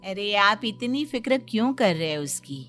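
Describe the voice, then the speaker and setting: A woman voicing an indulgent mother who coddles her son and gently chides her husband in an animated moral story. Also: mid-range voice, normal speed. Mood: placating. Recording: music behind the voice.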